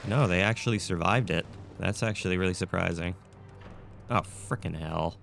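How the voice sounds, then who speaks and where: Low raspy man with a scratchy throat. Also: normal speed, a bit bright, faint music behind. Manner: chatty, thinks aloud with fillers. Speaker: gameplay commentator. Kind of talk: casual let's-play commentary.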